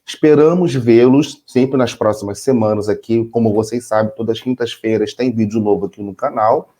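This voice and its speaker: Brief: middle-aged Brazilian co-host, warm dark tone, chatty, keeps asking "entendeu?". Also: male voice, medium pitch, normal speed. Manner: explains with rhetorical questions to the audience; relaxed, chatty, says "entendeu?" often. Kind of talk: casual chat.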